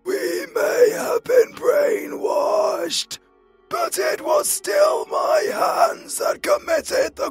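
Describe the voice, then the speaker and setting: A man's voice: extremely high pitch, heavily acted. A British man playing a tormented, starving undead husband in a horror audio roleplay, crying and pleading in anguish. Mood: grieving resolve. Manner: anguished, melodramatic pleading in broken shouts.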